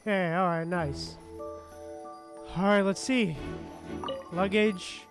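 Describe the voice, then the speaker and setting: A casual game streamer with a mid-range voice talks over clearly audible background music. The delivery is slangy and muttered, moving between loose reactions and reading game text aloud. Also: mid-range voice, fairly even intonation, slow.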